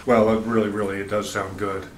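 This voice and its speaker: A man interviewing casually on camera in a hotel room at an audio show, with somewhat halting phrasing. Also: fairly low pitch, level tone. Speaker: video reviewer and interviewer. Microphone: camera microphone, held by the speaker. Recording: echoey room, faint background room noise.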